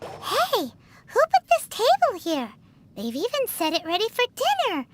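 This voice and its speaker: A woman voicing a tiny, curious, cheeky childlike fairy companion in a video game, with a squeaky voice and exclamatory manner. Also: huge pitch swings, measured pace, heavy background noise, full character voice. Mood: excited, curious. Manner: refers to herself in the third person, exclamatory. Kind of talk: video game character dialogue.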